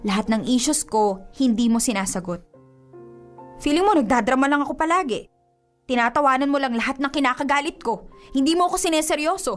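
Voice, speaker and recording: A woman's voice: high-pitched, a little fast, close to an everyday voice. A woman playing a hurt, fed-up young woman reproaching her boyfriend in a radio drama, speaking emphatically over music. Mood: hurt, accusing. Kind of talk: radio drama acting in Tagalog. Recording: music behind the dialogue.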